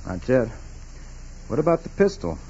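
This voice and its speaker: An actor playing an inquisitive, plain-spoken investigator in a 1940s radio drama, casual and probing. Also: male voice, medium pitch, sing-song, normal speed, mellow, slight background noise, lightly acted. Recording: dull 1940s radio recording.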